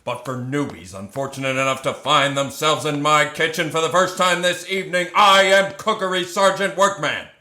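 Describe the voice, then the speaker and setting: A hard, contemptuous kitchen drill sergeant in a comic audio drama. He barks insults and orders in a high, forceful voice. The room rings around him. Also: normal speed, hardly any pauses, echoey room. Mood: menacing, barking. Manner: barking, insulting military commands.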